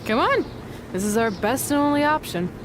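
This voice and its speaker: An actress playing a plucky, teasing young wrestler sidekick in a video game, casual and encouraging. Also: female voice, high-pitched, big pitch swings, moderate pace, background noise. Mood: determined.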